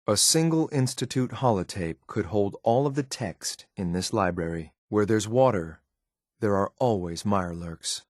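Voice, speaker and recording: A low, close and dry male voice for a video game synth enforcer. He speaks in clipped, controlled phrases, coolly watchful and faintly disdainful of what is around him. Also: no echo. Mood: cool, wary. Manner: clipped, matter-of-fact, controlled, faintly condescending. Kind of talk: video game companion voice lines.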